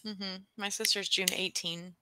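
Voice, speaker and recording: A woman's voice: mid-range voice, fairly even intonation, unhurried, crowd noise. A woman chatting casually with a friend as a guest on a reading stream, playful and thinking aloud as she talks. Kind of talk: casual chat between friends.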